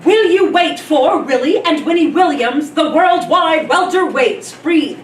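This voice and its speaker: A woman with a fairly high voice teaches a drama workshop in an animated, theatrical manner. She coaches as she goes and acts out examples. The recording is echoey and distant, with clear noise behind her. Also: a little slow. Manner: theatrical, animated, demonstrates lines in exaggerated delivery. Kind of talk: hands-on teaching demonstration with side coaching. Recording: echoey room, distant mic, noisy background.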